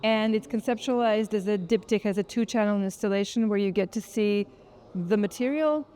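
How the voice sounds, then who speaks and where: A woman with a soft-edged, mellow voice at a medium pitch speaks as an artist in an interview. They explain their project in a reflective, articulate manner, often softening phrases as they think them through. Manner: articulate, thoughtful, frequent "kind of" hedging.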